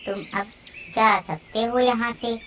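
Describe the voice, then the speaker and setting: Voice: medium pitch, fairly even intonation, slow pace, far from the mic, noisy background, natural voice. A performer voicing a stern, territorial owl who guards the forest in a cartoon moral story. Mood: stern, dismissive.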